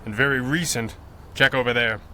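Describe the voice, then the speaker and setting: An actor playing an analytical masked crimefighter with dry, articulate speech in a radio adventure serial. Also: male voice, mid-range voice, fairly quick, bright, forceful tone, slight background noise, lightly acted. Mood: composed, analytical. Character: composed, analytical male masked detective hero.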